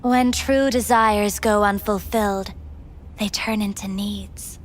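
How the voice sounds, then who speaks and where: An actress playing an enigmatic, soothing female companion in a cyberpunk video game, speaking in a hushed, breathy, deliberate way. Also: medium pitch, fairly even intonation, lightly acted. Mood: probing. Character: enigmatic, soothing young female companion who probes minds. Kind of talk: video game dialogue acting.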